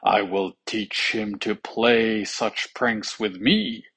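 A storyteller voicing an imperious, quick-tempered king in a folk tale. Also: mid-range voice, fairly even intonation, a bit bright, slightly rough, heavily acted. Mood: enraged.

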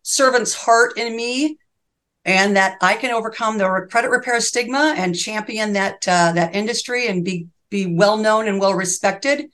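A woman's voice: medium pitch, a bit bright. This is an older woman in her sixties speaking as a specialist guest on an interview show. Her voice sits in a middle register. She talks in earnest, heartfelt bursts, piles clause upon clause and often restarts a thought partway through. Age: 60s. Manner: earnest and fervent, long run-on sentences with restarts. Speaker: reverse mortgage specialist, podcast guest. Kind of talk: interview conversation.

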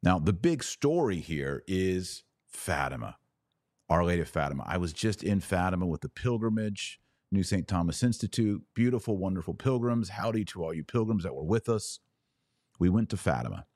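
Deep-voiced American man, casual podcast host. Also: lively intonation, moderate pace, a little mellow, no room sound, studio quality. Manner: conversational, informal, wryly speculative asides. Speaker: podcast host and religious commentator. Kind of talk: casual solo commentary on livestream.

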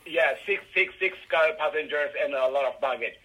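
A middle-aged man from Ethiopia with an Ethiopian accent, answering interview questions haltingly with many fillers and restarts. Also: a little high, bright, forceful tone, low-quality recording. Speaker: guest, rideshare driver.

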